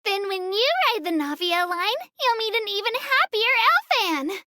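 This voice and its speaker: A little female creature working as a ferry tour guide in a fantasy video game. The voice is cute, girlish and extremely high, with animated, swooping intonation. It is eager, polite and full of childlike enthusiasm, captured close and dry. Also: normal speed, no room sound, full character voice. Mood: cheerful, hopeful. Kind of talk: scripted video game character narration.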